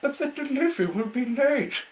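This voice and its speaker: Man putting on a high, wobbly little girl engine. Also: expressive, moderate pace, roomy sound, a little hiss or hum. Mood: upset. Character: worried, tearful young female little blue train engine.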